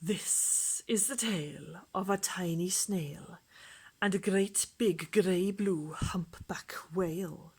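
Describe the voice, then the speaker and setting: A woman reading a children's rhyming picture book aloud in a put-on, lilting Welsh accent, gentle and rhythmic, often dropping to a soft whisper. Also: medium pitch, husky. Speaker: storytime reader.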